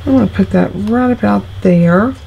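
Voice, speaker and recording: A woman's voice: mid-range voice, normal speed, creaky, dull audio, background noise. A middle-aged woman, still congested from illness, chatting homily through each step of a card-making tutorial. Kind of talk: casual craft tutorial.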